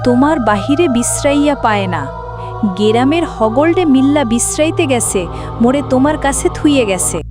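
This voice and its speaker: A woman's voice: high voice, animated, brisk. A woman voicing a village minder explaining things to a child in a horror cartoon, with a Barisal accent and music behind. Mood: concerned. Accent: rural southern Bangladeshi (Barisal).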